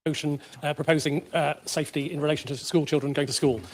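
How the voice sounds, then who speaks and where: A man with a slightly high voice speaks formally in a council debate. His words come in quick bursts, broken by many hesitations and repeats. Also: little pitch movement. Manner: halting, full of hesitations and repeats. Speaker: county councillor.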